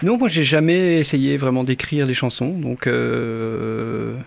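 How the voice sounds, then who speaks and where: A man with a small, soft voice, a shy band musician answering interview questions. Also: mid-range voice, slow, vocal fry, slight background noise. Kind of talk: casual interview chat.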